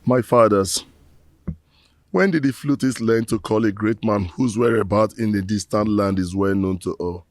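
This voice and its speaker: A man's voice: fairly low pitch, normal speed, dry recording, faint music behind, lightly acted. An actor playing a remorseful middle-aged man who pleads with his ancestors in a formal, proverb-rich lament.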